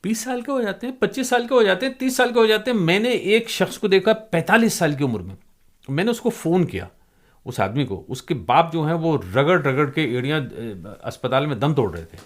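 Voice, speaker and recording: A man's voice: fairly high pitch, expressive, a little fast. A man hosting an interview, giving his own opinions emphatically and repeating phrases for weight. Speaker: interviewer, host. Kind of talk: conversational interview commentary.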